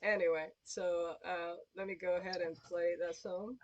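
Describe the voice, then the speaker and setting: A woman's voice: lowish voice, little pitch movement, moderate pace, muffled recording. A woman hosting a live show in casual, explanatory conversation. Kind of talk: casual chat. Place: online livestream call.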